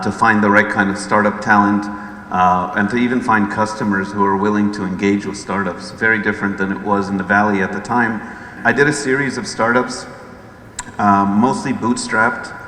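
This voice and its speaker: A man's voice: fairly low pitch, sharp tone. A middle-aged man with an American accent speaking conversationally as a guest at a talk, recounting his own career. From Los Angeles, United States. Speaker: guest speaker, chief executive. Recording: echoey hall with background noise.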